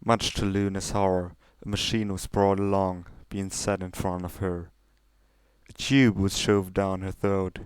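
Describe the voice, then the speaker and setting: A man's voice: low-pitched, little pitch movement, a little slow, smooth, dry recording. A man reading fiction aloud as a scripted, dramatic narration. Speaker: story reader.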